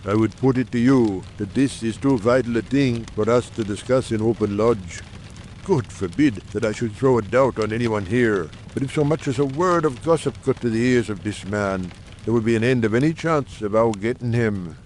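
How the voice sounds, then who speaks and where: A man's voice: medium pitch, moderate pace, darkish tone, slight background noise, voice pushed down, lightly acted. A man voicing an earnest, shrewd and confident secret society member making formal, persuasive speeches in a dramatised audiobook.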